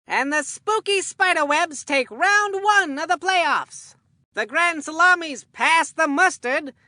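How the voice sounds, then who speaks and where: A woman's voice: very high voice, bright, forceful tone, no echo, clean studio sound, exaggerated voice. A woman playing a peppy cartoon baseball play-by-play commentator in a video game, with a punchy announcer's delivery. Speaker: sports commentator. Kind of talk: scripted sports game commentary.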